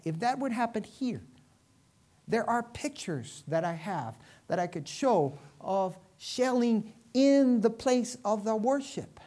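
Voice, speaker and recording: A woman from Venezuela preaching a sermon to a congregation, using rhetorical questions and dramatic emphasis and pauses. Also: mid-range voice, mellow.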